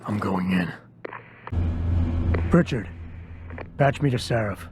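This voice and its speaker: An actor playing a stoic, gruff special agent in a game, speaking in a clipped, deadpan way. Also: male voice, lowish voice, takes it slowly, noisy background. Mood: grim, urgent.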